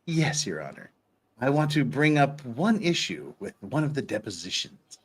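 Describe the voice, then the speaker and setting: A man hosting a dramatic reading of courtroom dialogue, theatrical and animated, with casual asides. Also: mid-range voice, moderate pace, husky.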